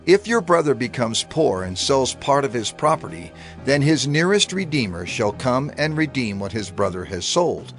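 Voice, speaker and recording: A man reads scripture aloud in a measured, declamatory way, his pitch rising and falling expressively. Clear music plays underneath the whole reading. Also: big pitch swings. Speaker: scripture reader.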